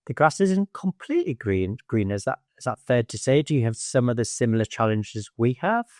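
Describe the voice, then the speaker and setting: A man's voice: mid-range voice, animated, a little fast, a little mellow, no room sound, studio quality. A man from the United Kingdom hosting an interview podcast, talking in a conversational way with fillers and restarts. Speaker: podcast host.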